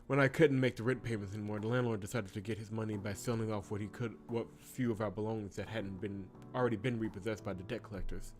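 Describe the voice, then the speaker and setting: A man's voice: fairly low pitch, steady tone, quick pace, hardly any pauses, natural voice. A man voicing a timid, fragile young servant woman in a hesitant way for a visual novel. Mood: sad, subdued.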